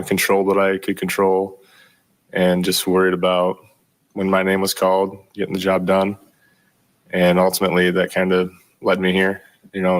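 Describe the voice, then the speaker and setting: A young man answering reporters after a game, with a very low and flat voice. He sounds modest and low-key, pausing often with ums. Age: young adult. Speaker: rookie pitcher. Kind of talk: postgame media interview.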